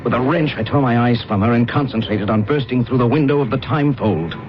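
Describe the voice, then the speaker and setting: An actor playing a ruthless, haunted space pilot in an intense, dramatic old radio drama. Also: male voice, medium pitch, brisk, music in the background, lightly acted. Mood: haunted narration. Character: ruthless, haunted male space pilot, not human, narrating his betrayal. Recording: muffled, very noisy old radio recording.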